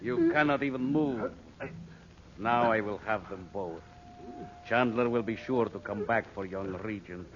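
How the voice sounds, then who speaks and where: An actor playing a contemptuous, commanding villain and master of henchmen in a 1940s radio drama. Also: male voice, on the low side, unhurried, natural voice. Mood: scornful, gloating. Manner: commanding, scornful. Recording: noisy, muffled 1940s radio recording.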